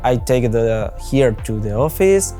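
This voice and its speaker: A man's voice: mid-range voice, lively intonation, unhurried, mellow, faint music behind. A young man from Spain, speaking English with a Spanish accent in a plain, matter-of-fact way. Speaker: volunteer video editor. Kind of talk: interview testimonial.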